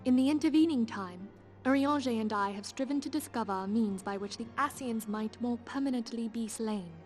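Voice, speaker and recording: A woman's voice: a little high, a little fast, close to an everyday voice. An actress playing a composed, authoritative female leader in a fantasy game, explaining things formally and with assurance. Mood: measured, explanatory. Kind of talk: theatrical fantasy game dialogue. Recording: loud music under the voice.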